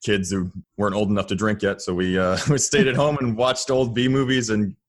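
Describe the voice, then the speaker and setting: Lower-voiced American man in casual chat. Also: flat delivery, a little fast. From Florida, United States. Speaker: podcast guest, audio drama creator.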